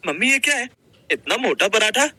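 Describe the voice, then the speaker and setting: A man voicing an excited, delighted little boy in a Hindi moral-story cartoon. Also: high-pitched, moderate pace, slightly rough, no echo, full character voice. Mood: amazed.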